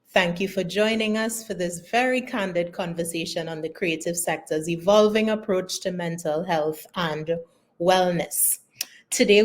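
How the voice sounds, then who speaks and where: A woman moderating a panel discussion as host. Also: on the low side.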